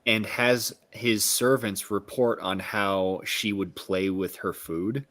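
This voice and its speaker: A man co-hosting a book discussion, explaining his points in a casual, analytical and somewhat halting way. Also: lowish voice, fairly even intonation, a bit bright.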